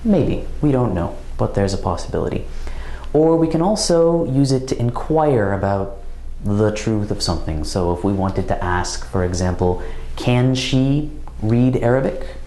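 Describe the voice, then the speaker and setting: A man giving a recorded language grammar lesson, explaining slowly and carefully with example phrases. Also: lowish voice, animated, warm tone, echoey room, poor audio. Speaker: language teacher.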